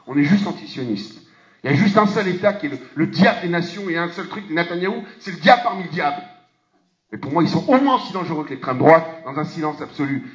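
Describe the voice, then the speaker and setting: A man's voice: lively intonation, fast. Emphatic man on a handheld mic in an echoey hall. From France. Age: middle-aged. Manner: emphatic, rhetorical questions, frequent restarts, swings between low and loud. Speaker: parliamentarian speaker on a panel. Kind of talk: impassioned public speech. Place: conference hall with audience. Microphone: handheld microphone. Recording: echoey hall, dull lo-fi sound.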